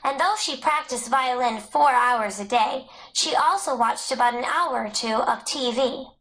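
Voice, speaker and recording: A woman narrator with a high voice reads scripted educational material aloud. She delivers it as prepared narration for learners. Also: unhurried, fairly bright tone, rough recording. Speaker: audiobook narrator.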